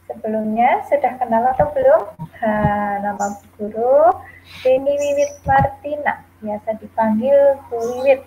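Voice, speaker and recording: A woman's voice: high-pitched, unhurried, dull audio, background noise. A woman kindergarten teacher from Central Java, cheerfully opening an online lesson for young children. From Central Java, Indonesia. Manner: cheerful, addresses children directly. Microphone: video call.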